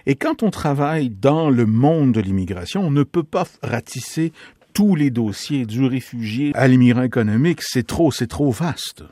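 Radio host in a dry studio. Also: male voice, mid-range voice, animated, normal speed, dark tone, no echo. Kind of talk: radio interview.

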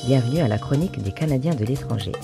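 Man, radio host, mid pitch, measured delivery, loud background music. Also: animated, creaky. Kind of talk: radio interview and scripted intro. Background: music under intro.

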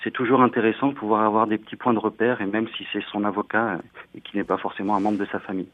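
A man's voice: on the low side, little pitch movement, very fast, dry recording. A man from northern France, an immigration lawyer answering radio interview questions in an earnest, explanatory way with frequent hesitations. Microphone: phone. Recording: tinny phone line, dry.

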